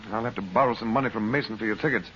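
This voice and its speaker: A man's voice: lowish voice, very fast, a bit bright, natural voice. An American man acting a middle-aged adventurer uncle, resolute, worried and protective, in an old-time radio serial. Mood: urgent, resolute. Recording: muffled, noisy old radio recording.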